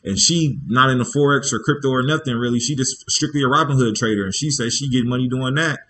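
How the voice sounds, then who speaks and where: Man, livestream host, casual and slangy, rambling, keeps checking in with viewers, medium pitch. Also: fast talker, fairly bright tone, slight background noise, poor audio. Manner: loose and slangy, with frequent "you feel me" check-ins; rambling, thinking aloud with restarts.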